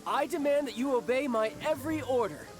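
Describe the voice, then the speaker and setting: Young game commander, high voice, cocky, theatrical, formal orders, music underneath. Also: male voice, fairly quick. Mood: pompous. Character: cocky young male military commander posing as sales manager. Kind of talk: video game voice acting.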